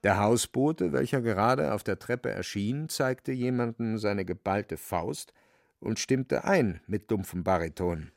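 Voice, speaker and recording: Creaky-voiced man narrating a radio play. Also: lowish voice, a little slow, no echo, clean studio sound. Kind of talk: scripted literary narration.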